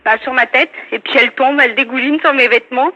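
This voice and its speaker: A woman's voice: high voice, steady tone, fast, sharp tone. A woman on a phone call, answering earnestly and compliantly in short, simple replies. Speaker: prank call target. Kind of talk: unscripted phone conversation. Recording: dry, dull telephone line.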